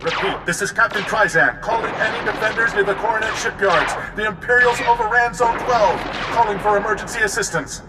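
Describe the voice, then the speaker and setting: An actor playing an urgent army captain calling for help in a video game. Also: male voice, high-pitched, brisk, few pauses, lightly acted. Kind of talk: video game cutscene acting. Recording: distant, reverberant, loud battle noise. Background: artillery and gunfire.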